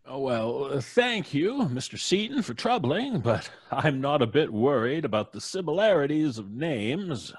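An actor playing a polite old judge in an old-time radio horror play. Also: male voice, mid-range voice, sing-song, a little slow, hardly any pauses, husky, dry recording, clean studio sound. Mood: genial, unworried. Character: old judge, polite, unafraid, then rattled by a ghost.

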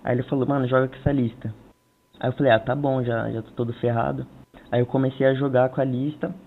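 A man's voice: medium pitch, flat delivery, fast, gravelly, a little hiss or hum. A man with a Brazilian accent casually answering interview questions as a tournament player. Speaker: tournament champion being interviewed. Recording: tinny phone-like voice call.

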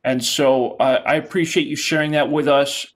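A middle-aged man leads a class over a webcam call from a home office. His voice sits a little high, and he talks in an encouraging, loose way, often repeating words as he restarts. The sound is plain laptop-call audio. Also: steady tone, moderate pace. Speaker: instructor. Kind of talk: casual class video call. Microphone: video call webcam.

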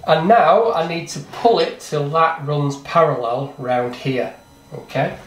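Slow-talking man demonstrating in an echoey workshop. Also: a little high, noisy background. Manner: plain, practical, self-deprecating, thinks aloud while working. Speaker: plumbing and gas instructor. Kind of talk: hands-on step-by-step demonstration. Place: small training workshop, indoors. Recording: echoey workshop with faint clatter.

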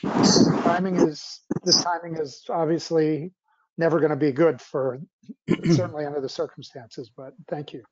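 A man's voice: on the high side, steady tone, moderate pace, no room sound. A man speaking informally as a school board chair in an online meeting. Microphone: video call. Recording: dull lo-fi video-call audio.

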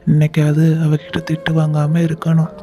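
A man's voice: on the high side, completely flat delivery, moderate pace, warm tone, lightly acted. A man voicing a meek, hardworking male crow fruit seller in a cartoon, mild and apologetic. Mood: weary. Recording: light music bed.

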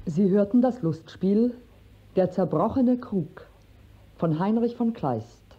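Casting: male announcer, slow formal credits reading, husky tone, muffled vintage radio sound. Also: high-pitched, lively intonation.

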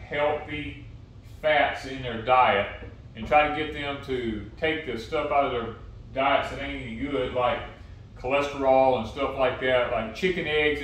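A man's voice: medium pitch, a bit bright, recorded from a distance, a little hiss or hum. Folksy older man, echoey room. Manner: folksy, plain-spoken, opinionated. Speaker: homestead channel host. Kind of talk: casual homestead vlog. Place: home dining room, indoors. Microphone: camera mic from across the room.

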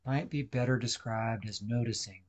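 A man leading a guided meditation, speaking deliberately in short instructional phrases with pauses. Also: mid-range voice, lo-fi sound.

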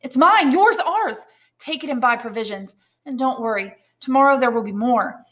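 A woman's voice: a little high, brisk, fairly bright tone, pitched above the performer's own voice, close to an everyday voice. A woman voicing an eager, earnest young sailor son in an audiobook, speaking emphatically. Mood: eager, reassuring. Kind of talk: audiobook character dialogue.

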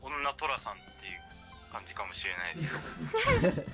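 A young man calling in to a radio show as a guest writer, pitching casually in halting, vague phrases. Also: lively intonation, vocal fry, over loud music. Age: young adult.

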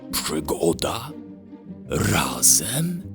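Man acting fretful gluttonous fox, children's storybook, low-toned whimper, drawn-out repeated words, music behind. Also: wildly swooping pitch, vocal fry, full character voice. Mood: timid disbelief. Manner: slow, whimpering, repeating himself. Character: gluttonous, tearful little male fox, fretful cousin. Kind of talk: children's bedtime story character.

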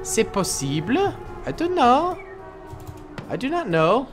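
Playful young man gaming commentary, lively pitch. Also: slow, over music. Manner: playful, jokey slang. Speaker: gaming YouTuber. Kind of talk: casual gameplay commentary.

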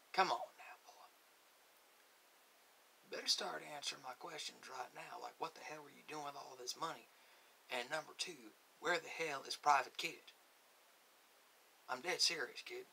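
A man playing a stern, folksy army sergeant in an ASMR interrogation roleplay, questioning a prisoner in halting, repetitive colloquial phrases. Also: medium pitch, creaky, pushed and bright, full character voice, low-quality recording. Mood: scornful, then stern, impatient. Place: small cluttered room, indoors. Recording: hissy home recording.

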